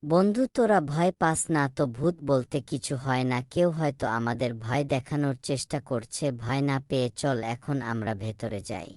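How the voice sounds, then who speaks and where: A man's voice: mid-range voice, moderate pace, a little mellow, dry recording, studio quality, close to an everyday voice. A man voicing a brave young village friend who rallies his companions in a cartoon story. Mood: bold, reassuring. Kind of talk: cartoon character dialogue.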